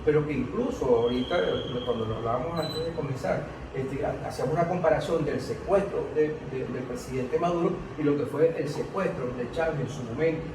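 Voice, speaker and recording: A somewhat high male voice with a Venezuelan accent talks through clear background noise in an echoey room. He sounds earnest and insistent as a panel analyst, restating phrases to drive points home. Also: fairly quick, hardly any pauses, far from the mic. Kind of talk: informal political panel discussion.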